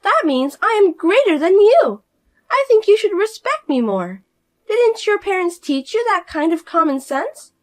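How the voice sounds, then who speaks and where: A woman voicing a spoiled, arrogant sister-in-law who talks down to everyone in a shrill, scolding way. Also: big pitch swings, a little slow, heavily acted. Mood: indignant. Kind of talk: audio story voice acting.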